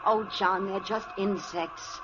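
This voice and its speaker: A woman's voice: mid-range voice, fairly even intonation, slow, noisy background, close to an everyday voice. An actress playing an anxious, pleading woman in an old-time radio drama, melodramatic in manner. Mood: worried, soothing. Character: anxious, pleading woman soothing a frightened man. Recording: muffled vintage radio recording.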